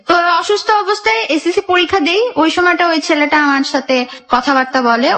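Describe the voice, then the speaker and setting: A woman's voice: extremely high pitch, a bit bright. A young woman with a Bangladeshi accent tells her life story in a radio interview, narrating in detail and re-enacting conversations. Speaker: guest telling own life story.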